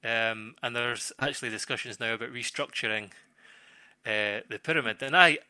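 A man talks through football matters off the cuff with a very bright, forceful voice. He halts and repeats himself as he searches for words. The sound is close and dry, with mid-range pitch. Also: normal speed, smooth, no echo. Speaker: football pundit. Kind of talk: discussion, off-the-cuff analysis.

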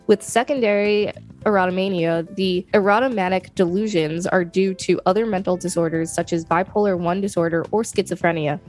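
A woman co-hosting a podcast, reading an encyclopedia article aloud in a measured, clear way. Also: fairly low pitch, a little mellow. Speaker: podcast co-host and reader.

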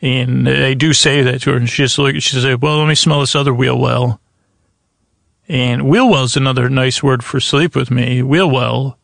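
Creaky-voiced man rambling as podcast host. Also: mid-range voice, steady tone, normal speed, a bit bright, dry recording, studio quality. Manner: meandering, stammering, self-interrupting, trailing off into tangents. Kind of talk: rambling bedtime sleep podcast monologue.

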